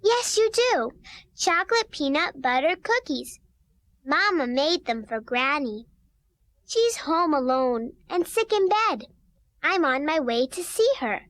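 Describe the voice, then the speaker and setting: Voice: high voice, sing-song, slow, fairly bright tone, no echo, heavily acted. A performer voicing a sweet, trusting, obedient little girl in a children's musical story, with a cute, earnest manner. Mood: trusting, cheerful.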